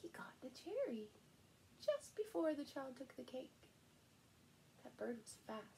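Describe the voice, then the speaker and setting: A middle-aged woman with a fairly high voice tells a children's story very slowly. She reads expressively, playing up sound words and exclamations for young listeners. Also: creaky, a little hiss or hum. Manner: animated, slow, with playful sound words and exclamations. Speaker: storyteller and channel host. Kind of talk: expressive picture-book read-aloud for small children. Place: small furnished room indoors.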